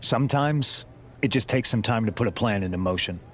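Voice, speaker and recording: A man's voice: lowish voice, a little fast, lightly acted. A man voicing a calculating wrestler who leads a rebel faction, firm and persuasive in video game cutscene dialogue. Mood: confiding, persuasive.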